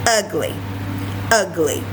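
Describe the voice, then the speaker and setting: A woman voicing mocking, disdainful schoolchildren from a storybook in a deliberate, drawn-out way. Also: fairly low pitch, background noise. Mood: scornful.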